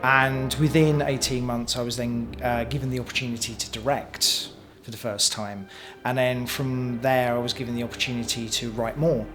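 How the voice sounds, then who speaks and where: Male actor-director interviewee, medium pitch, reminiscing with music clearly underneath. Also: fairly even intonation, moderate pace, few pauses. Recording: music playing behind the voice. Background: background music.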